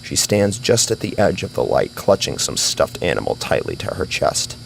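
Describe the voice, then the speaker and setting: A man's voice: low voice, very animated, normal speed, gravelly, a little hiss or hum, rough recording. A man narrating a scripted horror story in a dramatic style.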